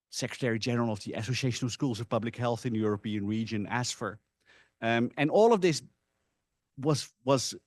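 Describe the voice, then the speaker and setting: A man who is a non-native English speaker, giving an informal academic presentation in an animated storytelling manner with rhetorical asides. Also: medium pitch, dry recording. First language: not English (English is a third language).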